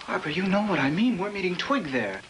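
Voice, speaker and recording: A man's voice: sits high, very animated, fast, fairly bright tone. An actor playing a jealous, earnest and aggrieved young American man in a 1950s film drama. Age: young adult. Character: jealous, sulky young boyfriend and son. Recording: noisy old film soundtrack with clicks.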